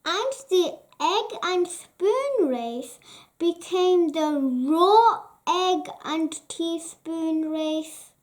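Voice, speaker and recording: A reader narrating a children's bedtime story in a deliberate, pausing storytelling way. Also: very high voice, lively intonation.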